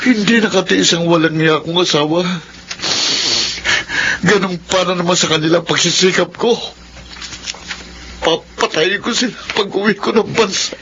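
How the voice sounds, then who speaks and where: A man's voice: high-pitched, normal speed, pauses often. An actor playing an aggrieved, hot-tempered man with intense, melodramatic delivery in a Filipino radio drama. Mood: murderous rage. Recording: muffled, noisy radio drama audio.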